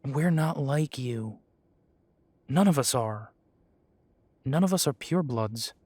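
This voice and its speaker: A young man plays an earnest young werewolf about to lead his pack, deferential to his elders. He speaks slowly and deliberately into a close mic with a dry, dead sound. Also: medium pitch, lively intonation, darkish tone, no room sound. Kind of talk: amateur audio drama acting.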